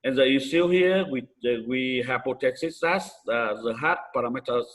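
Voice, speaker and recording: A man from Vietnam with a Vietnamese accent gives an academic conference presentation in halting, hesitant English with frequent pauses. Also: mid-range voice, a bit bright.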